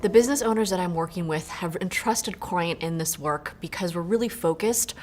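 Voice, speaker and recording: A woman wealth advisor speaking in a polished, measured, businesslike way in a promotional testimonial. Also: on the low side, darkish tone, soft background music.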